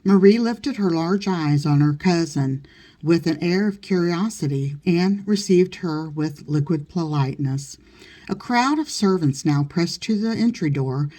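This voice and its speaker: A woman narrates a literary audiobook. Her voice sits low for a woman, with a dark, relaxed tone suited to reading prose aloud. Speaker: audiobook reader.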